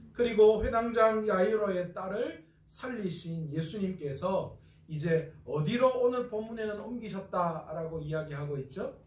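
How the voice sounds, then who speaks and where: A man's voice: sits high, reverberant, recorded from a distance. A man from North Gyeongsang, South Korea, preaching an expository Bible lecture as a pastor, asking questions and answering them himself.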